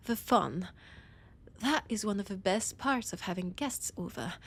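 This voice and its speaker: A woman playing a condescending, teasing vampire scholar in an audio roleplay, with a French accent and a breathy, husky voice that often drops to a whisper. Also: mid-range voice, a little hiss or hum. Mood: annoyed, mocking. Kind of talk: scripted audio roleplay addressed to the listener.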